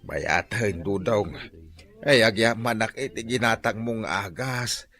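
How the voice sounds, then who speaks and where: An actor with an Ilocano Filipino accent playing a humble, grateful old jeepney driver in a radio drama. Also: male voice, fairly low pitch, slow pace, bright, forceful tone, exaggerated voice. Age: older. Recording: noisy track with vehicle sounds.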